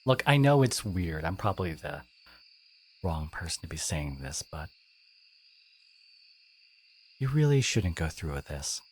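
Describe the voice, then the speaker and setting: Low-voiced, sardonic teenage school bully played by a man. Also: big pitch swings, breathy edge, exaggerated voice. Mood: earnest, reassuring. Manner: halting, soft-edged, sardonic, confiding. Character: gruff teenage school bully, secretly wounded and caring. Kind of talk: intimate audio roleplay monologue.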